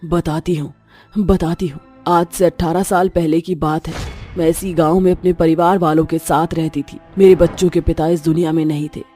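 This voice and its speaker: A woman's voice: sits low, level tone, normal speed, mellow, pitched below the performer's own voice, lightly acted. A fierce ghostly witch mother, acted out by a woman storyteller, protective of her children and menacing to everyone else. She speaks with a wounded, aggrieved edge, over a music bed in a horror story. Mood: bitter, sorrowful.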